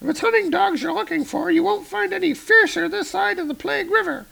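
A man voicing a scheming old widow who sells hunting dogs, with a high, grovelling, wheedling voice. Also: brisk, gravelly, pushed and bright, exaggerated voice. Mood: irritated, fawning.

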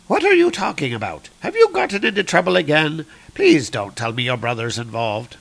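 A man's voice: fairly high pitch, very animated, fairly quick, gravelly, fairly bright tone, exaggerated voice. A man voicing a regal, motherly goddess queen who frets and fusses, as part of a comic-book story. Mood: worried.

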